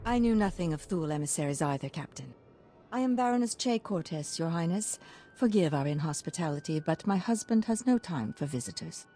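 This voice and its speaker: A woman's voice: low-pitched, animated, brisk, mellow, a little hiss or hum. A woman playing a poised, grave baroness with a formal, courtly manner in a video game. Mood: cool courtesy.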